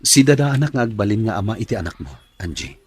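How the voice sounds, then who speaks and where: A man's voice: on the low side, big pitch swings, fast talker, a little mellow, breathy edge. A man playing an earnest, caring young office worker and suitor in an Ilocano radio drama, speaking expressively. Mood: concerned, tender.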